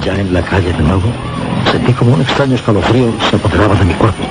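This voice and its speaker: An actor with a Castilian Spanish accent playing a shaken man who recounts a supernatural horror in halting, dramatic radio narration. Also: fairly low pitch, natural voice. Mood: uneasy. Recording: very noisy radio drama soundtrack.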